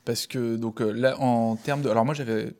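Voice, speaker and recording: A man's voice: medium pitch, steady tone, moderate pace, a little mellow. A man hosting a casual interview, friendly and informal, often answering with short acknowledgements. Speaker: interviewer, host.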